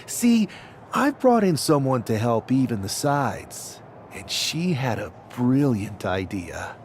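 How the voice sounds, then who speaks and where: Resolute male wrestler, lively intonation. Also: medium pitch, takes it slowly, a little hiss or hum. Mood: defiant. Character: determined male wrestler leading a fightback. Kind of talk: video game cutscene acting. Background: music.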